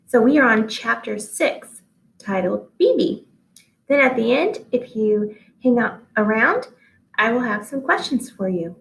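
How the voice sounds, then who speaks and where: High-voiced woman reading a children's story. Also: expressive, a little slow, vocal fry, poor audio. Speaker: read-aloud storyteller. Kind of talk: expressive children's storybook narration.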